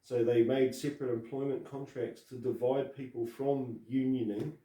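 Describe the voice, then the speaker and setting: Co-host, male, from New Zealand, mellow voice, stop-start delivery, echoey room. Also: medium pitch, steady tone, moderate pace, far from the mic. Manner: hesitant, many fillers and restarts. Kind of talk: casual political chat. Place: Christchurch.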